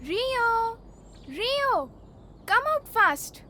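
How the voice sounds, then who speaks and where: A woman voicing an eager, boastful young boy with get-rich schemes in an animated children's story. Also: very high voice, very slow, full character voice. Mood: excited, scheming. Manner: excitable, boastful.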